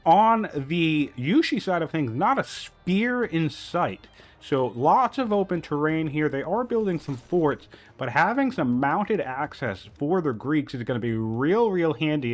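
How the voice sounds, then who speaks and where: A man casting a strategy game tournament, working through numbers aloud with frequent "um" and "you know", still a little sniffly after a cold. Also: medium pitch. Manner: analytical, thinking aloud with fillers. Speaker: tournament caster.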